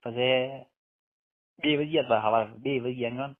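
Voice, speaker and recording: A man's voice: medium pitch, steady tone, unhurried. A man with a Marwari accent from western Rajasthan chatting casually on a private phone call. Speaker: phone caller. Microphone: telephone line. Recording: tinny phone call recording.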